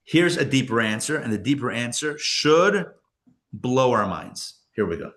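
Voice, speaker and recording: A man's voice: medium pitch, big pitch swings, measured pace, fairly bright tone. A man, a rabbi teaching a live Torah class to an audience, talking casually, often chuckling and restarting as he fields questions. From born in Israel, raised elsewhere.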